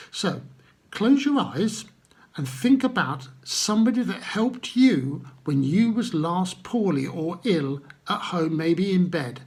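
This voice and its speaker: A man telling stories to children and addressing them gently, with simple, unhurried phrasing and guiding questions. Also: sits high, slightly rough. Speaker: children's Bible storyteller.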